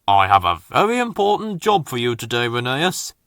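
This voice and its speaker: A man voicing an authoritative railway boss in a children's storybook, measured and commanding. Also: mid-range voice, big pitch swings, fairly bright tone, dry recording, clean studio sound, pitched below the performer's own voice. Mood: businesslike.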